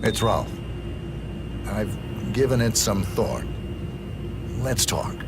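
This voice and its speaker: A man playing a stoic, menacing assassin of few words in a game, speaking tersely and deadpan. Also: lowish voice, slow, gravelly, heavy background noise, exaggerated voice. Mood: curt. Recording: loud music behind.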